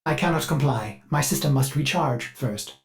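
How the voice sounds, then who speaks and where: A man's voice: mid-range voice, moderate pace, lightly acted. An actor voicing a polite, eager male ship AI servant in a video game, with a formal, mannered delivery. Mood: brisk, dutiful. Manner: mannered, formal, refers to itself in third person. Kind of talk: scripted game character voice lines.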